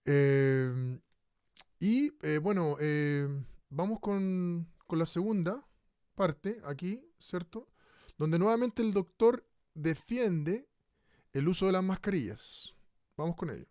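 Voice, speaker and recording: An actor playing a radio co-host who speaks hesitantly, with many pauses. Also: male voice, high voice, animated, a little mellow, smooth, no room sound, studio quality. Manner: hesitant, full of "eh". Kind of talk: radio drama acting.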